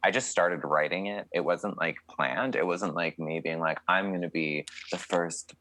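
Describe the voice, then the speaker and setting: A man's voice: deep voice, normal speed, sharp tone, slight background noise. A young man from Canada, a country singer, answering interview questions in a chatty, candid way with many fillers.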